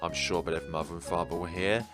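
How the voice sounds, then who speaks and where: A man's voice: low-pitched, little pitch movement, fast talker, voice pushed down, close to an everyday voice. A man voicing an affectionate sister through a tender letter read aloud in a visual novel. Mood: tender, proud. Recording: music playing behind the voice.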